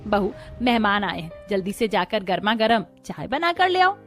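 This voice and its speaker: A woman's voice: medium pitch, big pitch swings, normal speed, lightly acted. A woman voicing a scheming, quarrelsome older Indian mother-in-law in a moral story cartoon, with a sly, complaining, dramatic manner. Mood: falsely sweet. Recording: music behind the voice. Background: background music.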